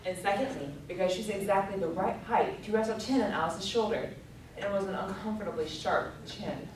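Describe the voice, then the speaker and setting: A woman's voice: mid-range voice, fairly quick. Female storybook narrator, scripted delivery, distant and very reverberant with faint noise. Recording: very echoey room, distant mic, noisy.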